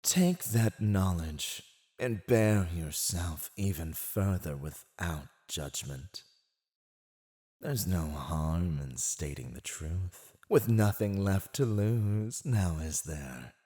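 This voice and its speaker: A man's voice: low-pitched, takes it slowly, dark tone. A man voicing a mocking, silver-tongued fantasy villain in a scripted ASMR roleplay, gloating over a captive in a teasing, condescending, theatrical drawl. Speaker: voice actor.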